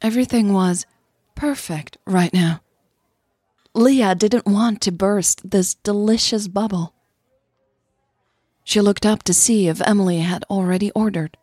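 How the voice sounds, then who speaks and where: Husky-voiced woman narrating a story. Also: medium pitch, a little slow, long pauses, mellow, dry recording, clean studio sound. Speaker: narrator of an audio drama series.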